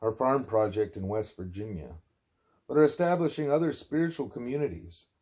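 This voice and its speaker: A man with a measured, plain-spoken way of talking, reading aloud or speaking as a parent at home. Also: medium pitch, warm tone. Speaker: reader, parent putting a child to bed. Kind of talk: reading a religious text aloud, with quiet parental chat.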